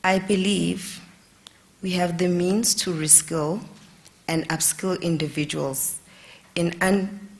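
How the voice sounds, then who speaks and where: Woman giving a speech, super low gravelly voice, slow and deliberate. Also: steady tone. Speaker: speaker, royal. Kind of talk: formal speech. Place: conference hall.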